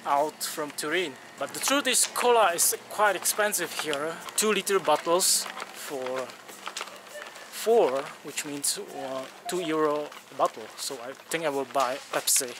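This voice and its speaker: A man's voice: a little high, measured pace, noisy background. A young man vlogging a cycling trip, chatting casually to an action camera on his handlebars and while walking through a supermarket, in plainspoken English. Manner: chatty, plainspoken, non-native English phrasing. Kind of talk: casual travel vlog with voice-over narration. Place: outdoors on a bike path and inside a supermarket. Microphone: action camera on the handlebars and handheld.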